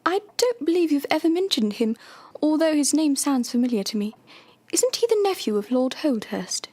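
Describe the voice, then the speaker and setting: An actress playing a gentle, supportive Victorian doctor's wife in an audio drama, with polite composure. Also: female voice, high voice, sing-song, moderate pace. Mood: attentive, composed.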